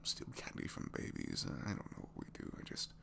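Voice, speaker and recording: A man's voice: a little fast, bright, forceful tone, close to an everyday voice. A man voicing a reluctant captured supervillain, dry, sheepish and complaining, in a hesitant, rambling way, for an intimate ASMR roleplay. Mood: resigned, confiding. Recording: faint background noise.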